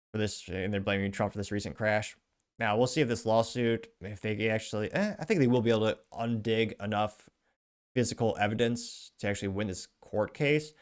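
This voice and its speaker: A man hosting his own show, reading social media posts aloud with chatty asides in casual news commentary. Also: on the low side. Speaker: show host.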